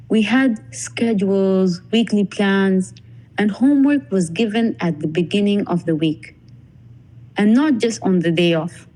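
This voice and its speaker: Young woman, from the UAE, podcast guest, dark mellow voice, prepared reading, earnest. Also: medium pitch, rough recording. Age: young adult.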